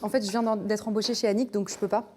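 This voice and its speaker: A woman's voice: medium pitch, steady tone, very fast, darkish tone, a little hiss or hum. A woman presenting a cooking segment, chatting informally and asking curious questions. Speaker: show presenter. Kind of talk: casual chat while cooking. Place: kitchen.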